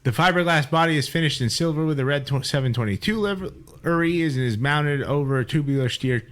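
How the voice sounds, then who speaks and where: A man's voice: a little high, fairly quick, a bit bright. Male podcast co-host, mock radio-announcer read, some stumbles. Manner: mock announcer delivery when reading, stumbles on words. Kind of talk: casual chat, reading an auction listing aloud.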